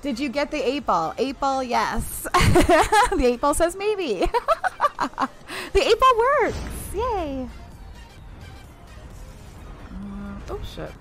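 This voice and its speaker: Bubbly high-voiced woman game streamer. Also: big pitch swings, slow pace, long pauses, soft background music. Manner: bubbly, laughs often, chatty asides to viewers. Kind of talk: casual live-stream chat while playing.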